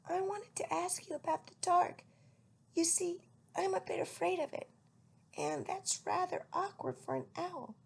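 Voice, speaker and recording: A woman reading aloud, voicing a young male barn owl chick who is nervous and eager, in a high childlike voice. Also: a little slow, vocal fry, heavily acted. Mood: earnest.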